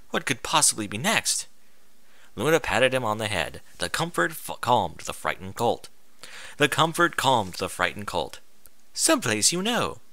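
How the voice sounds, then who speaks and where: Male story reader, amateur fan fiction. Piercingly bright, animated, emphatic, dry sound. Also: medium pitch, no echo. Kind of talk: dramatic storybook narration with comic edge.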